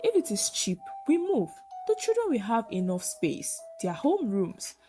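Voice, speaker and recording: Soft, hopeful Nigerian mother, voiced by a woman narrator. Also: on the high side, big pitch swings, measured pace, faint music behind. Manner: soft, reassuring. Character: hopeful, easygoing wife and mother in a folktale.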